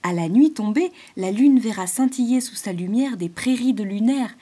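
A woman's voice: a little high, lively intonation, normal speed, warm tone, breathy edge. A woman voicing an upbeat, encouraging squirrel who speaks eagerly and reassuringly. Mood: enthusiastic.

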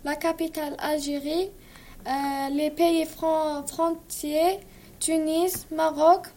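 A woman from Algeria, a native Arabic speaker, giving a halting school presentation in learner French. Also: sits high, a little hiss or hum.

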